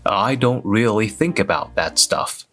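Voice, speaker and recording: Slow, low-voiced, reserved office man, acted by a man. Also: expressive, fairly bright tone, faint music behind. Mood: flat, dismissive. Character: reserved, awkward male office worker, narrating his own story. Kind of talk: scripted first-person narration in a manga dub.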